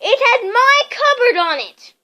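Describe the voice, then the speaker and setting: A young boy, indignant and angry, pitched high and loud, as a woman reading aloud puts him on. He insists and demands, voice leaping about. A storybook voice. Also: sing-song, a little slow, slight background noise, heavily acted.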